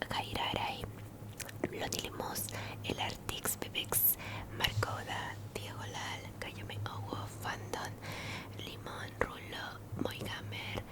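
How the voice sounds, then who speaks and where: A woman whispers in ASMR style, softly repeating words and phrases. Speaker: ASMR creator and channel host.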